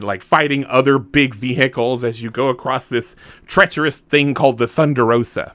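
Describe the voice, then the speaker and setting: Male podcast host, bright voice, medium pitch, informal and chatty, casual announcements, dry close recording. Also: no room sound. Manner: chatty, informal, self-deprecating.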